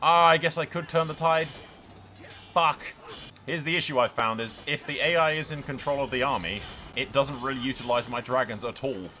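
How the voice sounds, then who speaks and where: A man's voice: medium pitch, normal speed, pushed and bright, faint music behind. A man giving casual, blokey game commentary, swearing freely and addressing his viewers. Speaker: gaming video host.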